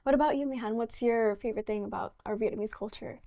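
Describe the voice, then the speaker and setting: Young woman, talk show host, fairly high voice, chatty with lots of "like". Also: fast, dark tone. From United States. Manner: chatty, fillers like "like". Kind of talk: hosting an interview.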